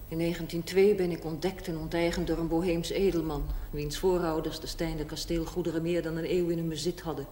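Actress as a proud blunt middle-aged ex-prostitute, tv drama monologue, low dark voice, echoey old recording. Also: female voice, little pitch movement, lightly acted. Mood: proud, wry. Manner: blunt, matter-of-fact, deliberate. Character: frank, proud 45-year-old former prostitute telling her life. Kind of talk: theatrical monologue in television drama. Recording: echoey, faintly noisy 1970s TV recording.

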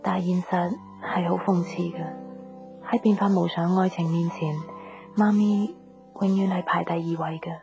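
An actress playing an earnest, emotional young woman in a radio drama, speaking softly with a Hong Kong Cantonese accent. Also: on the low side, level tone, normal speed, creaky. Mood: wistful. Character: earnest young woman torn between love and her mother. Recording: music bed behind the voice.

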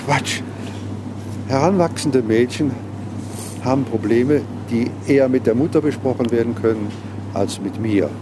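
A man's voice: medium pitch, a little slow, pauses often. Hesitant middle-aged man amid city noise. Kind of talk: casual conversation. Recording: clear street and traffic noise.

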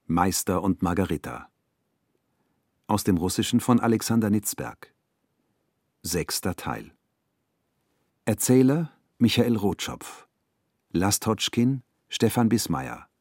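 Ein Mann liest als Ansager sachlich die Besetzung eines Hörspiels vor. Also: male voice, deep voice, expressive, slow, pauses often, a little mellow, dry recording, studio quality. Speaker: announcer reading the credits. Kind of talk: measured scripted announcement.

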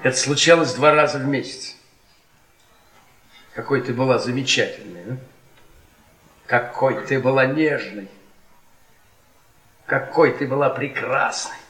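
An actor playing a weary, bitter middle-aged professor husband, sardonic and biting in a radio-play style. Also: male voice, medium pitch, measured pace, long pauses, a bit bright, natural voice. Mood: wounded anger. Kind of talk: Soviet radio theatre acting. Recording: roomy echo.

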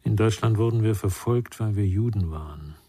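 An actor playing a reflective older man, once a war refugee, speaking in a measured, confiding way in a radio drama. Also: on the low side, warm tone, husky, no echo. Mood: reflective, gentle. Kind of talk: German radio crime drama acting.

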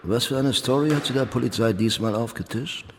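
An actor playing a loyal, casual-talking robber in a German radio crime drama. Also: male voice, medium pitch, brisk, noisy background, close to an everyday voice. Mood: guarded. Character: male robber, loyal to his jailed girlfriend. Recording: long reverb with music underneath.

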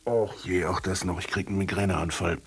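Exasperated narrator, deep voice, sighing, wry, radio drama, strong music underneath. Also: male voice, fairly even intonation, a little fast, vocal fry. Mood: suffering, overwhelmed. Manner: wry, sighing, self-interrupting. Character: harried storyteller, exasperated by his own characters.

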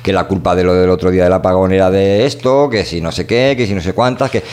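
A man's voice: low-pitched, steady tone, fast talker, darkish tone. A man with a Spanish accent from Spain co-hosts a podcast, talking in a casual, opinionated, rambling and colloquial way. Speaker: podcast co-host.

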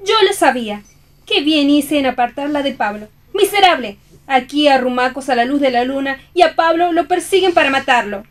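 Una actriz interpreta a una mujer caída, apasionada y desdeñosa, que defiende su amor con tono teatral en un radioteatro. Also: female voice, high voice, lively intonation, normal speed. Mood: indignant. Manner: theatrical, indignant. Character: scornful, passionate fallen woman defending her love. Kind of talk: theatrical radio play reading.

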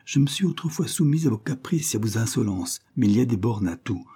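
A man reading an audiobook, voicing a brash, quick-tempered young maid in a raised, vehement voice. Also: warm tone, slightly rough. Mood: heated. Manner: high-pitched, vehement, indignant. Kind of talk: audiobook character voice.

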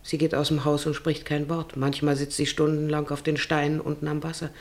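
A woman's voice: sits low, flat delivery, fairly quick, darkish tone. An actress playing an anxious, careworn middle-aged farmer's wife and mother, speaking hesitantly and earnestly in a German radio play. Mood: worried.

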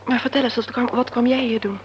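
An actress playing a candid woman visiting her aged father in a radio play, with a soft, confiding way of talking. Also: fairly high pitch, steady tone, fast talker, background noise, close to an everyday voice. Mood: friendly.

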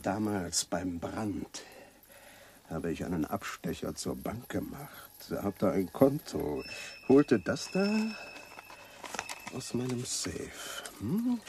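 Ein Schauspieler spielt in einem deutschen Hörspiel einen eitlen, reizbaren Schriftsteller, pompös und theatralisch, mit belegter Stimme. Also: male voice, fairly low pitch, sing-song, slow pace, warm tone, a little hiss or hum, exaggerated voice. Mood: confidential, scheming. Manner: pompous, theatrical, swinging from muttering to declaiming. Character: vain, irritable, self-important male novelist. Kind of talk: German radio play acting.

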